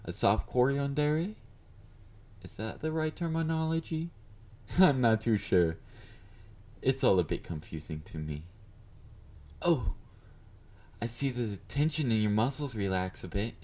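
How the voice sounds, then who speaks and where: A man's voice: mid-range voice, lively intonation, unhurried, long pauses, a little mellow, close to an everyday voice. A man playing a confident, obsessive, flirty yandere fan in an intimate audio roleplay, teasing and smug as he speaks to his beloved. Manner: teasing, smug, mock-considerate, murmured endearments.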